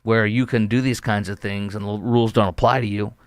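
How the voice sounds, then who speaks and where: Indignant low-voiced male commentary host. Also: fairly even intonation, fairly quick, clear, resonant tone, dry recording. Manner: emphatic, indignant, rambling. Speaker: talk show host. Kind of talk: opinionated political commentary.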